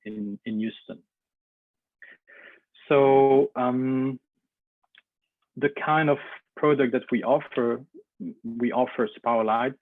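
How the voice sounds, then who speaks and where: A man's voice: lowish voice, dry recording. A man from Belgium with a Belgian French accent, whose first language is French, giving a webinar presentation with many hesitant fillers and restarts. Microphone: video call. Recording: tinny phone-like audio.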